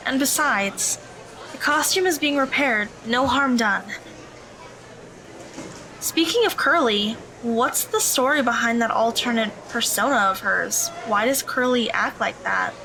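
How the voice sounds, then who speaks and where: Woman playing a high-voiced, reserved teenage girl. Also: expressive, unhurried, bright, forceful tone, a faint crowd, a little hiss or hum, heavily acted, poor audio. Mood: earnest, curious. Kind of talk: audio drama dialogue.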